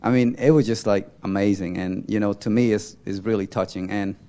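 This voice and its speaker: A young man from Cambodia giving an informal, halting church testimony as a mission volunteer, often searching for words. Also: on the low side, steady tone, warm tone.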